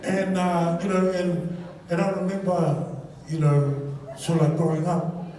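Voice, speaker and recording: High-voiced Samoan New comedian on stage. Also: male voice, unhurried, far from the mic. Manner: animated, acts out his own reactions. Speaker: stand-up comedian. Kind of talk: stand-up storytelling. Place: comedy stage before a live audience. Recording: roomy live venue, mic from afar, crowd laughing. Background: audience laughter.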